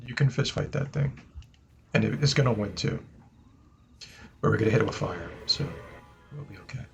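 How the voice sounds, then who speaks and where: Low gravelly man streaming a game. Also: highs cut off, slight background noise. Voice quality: naturally low voice. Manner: chatty, says "right?" and "guys" often. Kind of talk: casual gameplay commentary. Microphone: desk mic he moves around.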